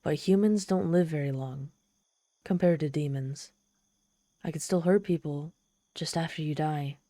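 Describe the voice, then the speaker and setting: Ancient demon woman, played by a woman for ASMR audio drama: lowish, velvety dark voice, proud and theatrical with a vulnerable streak. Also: a little slow, dry recording. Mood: proud, conceding. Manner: haughty, theatrical, softening into shy vulnerability. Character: ancient proud tsundere demon woman, lonely, haughty, teasing. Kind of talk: ASMR audio roleplay, addressing the listener.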